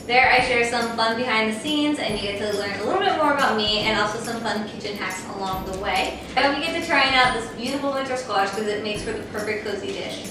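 A young woman hosting a casual cooking tutorial in her home kitchen, upbeat and chatty, with lots of "like". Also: fairly high pitch. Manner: chatty and upbeat, says "like" often. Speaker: cooking channel host. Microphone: far camera mic. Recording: echoey kitchen, mic at a distance, music underneath.

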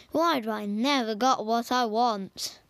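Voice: mid-range voice, a bit bright, clear, resonant tone, dry recording. A young storyteller acting out an amateur toy puppet play in a theatrical, exclamatory way.

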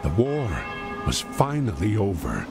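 Slow, solemn male narrator over music. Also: fairly low pitch, huge pitch swings, vocal fry. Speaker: narrator of a war story game. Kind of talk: scripted narration. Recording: music behind the voice.

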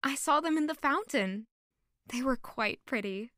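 A woman's voice: high-pitched, lively intonation, unhurried, bright, forceful tone, no echo, studio quality, heavily acted. A woman playing a sweet, curious young noble lady in an audio drama, polite and inquisitive.

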